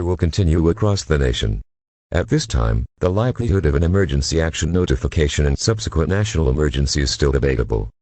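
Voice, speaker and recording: Man's voice as an emergency alert announcer, extremely deep, raspy, formal, impersonal, scripted bulletin, dry sound. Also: darkish tone, no echo, heavily acted.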